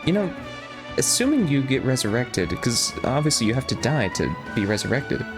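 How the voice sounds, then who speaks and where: A game streamer chats casually, thinking out loud. The delivery is lively in pitch and rambling, with clear game music in the background. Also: normal speed. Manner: rambling, musing aloud.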